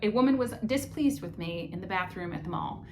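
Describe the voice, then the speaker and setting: A woman's voice: mid-range voice, lively intonation, fairly quick, background noise. A woman co-hosting a travel vlog, chatting casually with lots of fillers and restarts. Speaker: vlog co-host. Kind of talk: casual chat.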